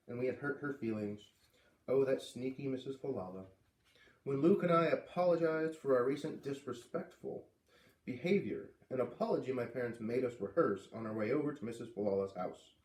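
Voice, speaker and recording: A man's voice: mid-range voice, a little mellow. Man reading aloud in an echoey room. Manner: dramatic, emphatic pauses on key words. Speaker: read-aloud reader. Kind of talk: expressive children's book narration. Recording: echoey room, distant microphone.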